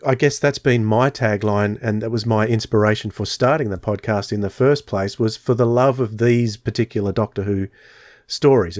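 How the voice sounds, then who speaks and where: A man's voice: fairly low pitch, a little mellow, dry recording. Man, podcast host, casual rambling chat, dry close sound. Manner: chatty, storytelling, many fillers.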